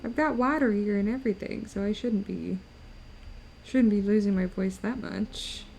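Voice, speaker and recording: Tired, gravelly young woman streamer. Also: medium pitch, moderate pace, a little mellow, low-quality recording. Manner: chatty, teasing viewers, laughs often. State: tired, voice breaking. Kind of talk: casual chat while drawing on stream. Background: faint music.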